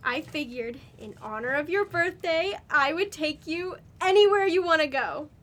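A young woman playing an eager girlfriend who pleads and insists, apologizing brightly. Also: high voice, animated, moderate pace. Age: young adult. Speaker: student girlfriend.

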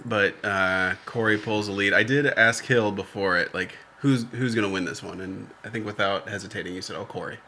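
A man's voice: lowish voice, fairly even intonation, a little fast, hardly any pauses, pushed and bright, slight background noise. A man co-hosting a podcast, recapping a reality show in loose, joking, profane chat. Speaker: podcast co-host.

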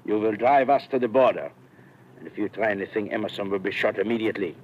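An actor playing a fat male villain in a 1950s radio drama, coolly menacing and smugly polite, speaking in measured threats. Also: fairly low pitch, natural voice. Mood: smug menace. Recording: dull, faintly noisy old radio recording.

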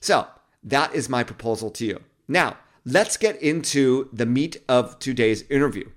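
A male podcast host speaks to his listeners in an enthusiastic, chatty manner. His voice has an ordinary middle pitch. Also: lively intonation, measured pace. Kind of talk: podcast intro and interview.

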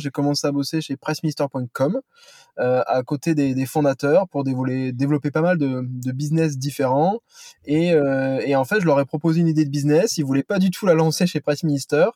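A man's voice: a little high, a little mellow, no echo, studio quality. A man in his thirties from Toulouse with a southern French accent, chatting as a guest from a parked car, hesitant with lots of fillers. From Toulouse, southern France. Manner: hesitant, many fillers and restarts. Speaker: guest, company founder. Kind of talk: casual interview chat.

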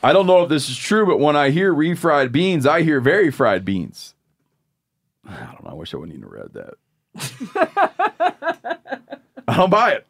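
A man's voice: on the high side, wildly swooping pitch, unhurried, pauses often, husky. A man hosting a casual podcast chat, reading listener mail aloud and making joking asides. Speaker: podcast host.

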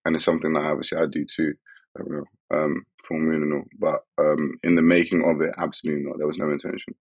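Very deep gravelly man. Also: level tone, fast talker, no room sound. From England. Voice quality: gravelly, husky. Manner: laid-back, laughs often. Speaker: musician guest. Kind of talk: casual podcast chat.

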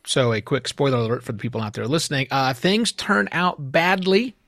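A man's voice: medium pitch, sing-song, a little fast, a bit bright, dry recording, studio quality, soft background music. A man hosting a podcast interview in a conversational, emphatic way, repeating words for emphasis.

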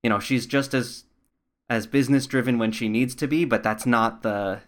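A man speaks as a podcast interviewer in a mid-range voice. He sounds conversational, offering measured, thoughtful comments and questions to a guest. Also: medium pitch, steady tone.

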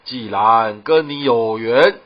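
A man playing a mysterious old martial arts master in a comedic radio play, making a portentous, archaic sales pitch. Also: slow pace. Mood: persuasive, grandiose. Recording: slightly muffled, music behind.

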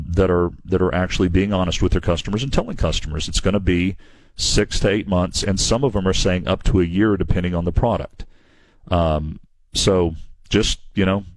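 Deep-voiced halting radio host. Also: male voice, no echo. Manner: halting, many repeated words and restarts; earnest, plainspoken. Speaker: radio show host and window company owner. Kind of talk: call-in radio advice talk.

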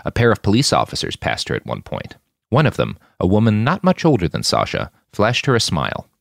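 A man's voice: fairly low pitch, huge pitch swings, a little fast, gravelly, no room sound, studio quality. A man reading scripted fiction as the narrator of an audiobook-style podcast.

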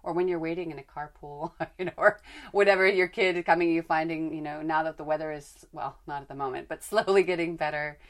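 A chatty woman hosts a relaxed podcast conversation, asking long, winding questions and laughing easily. Her voice sits very low for a woman. She is from Northern California. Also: a little fast, hardly any pauses. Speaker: podcast host.

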